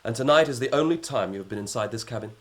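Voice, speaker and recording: A man's voice: mid-range voice, animated, racing, natural voice. An actor playing a sneering male police inspector in a radio drama, sarcastic and needling.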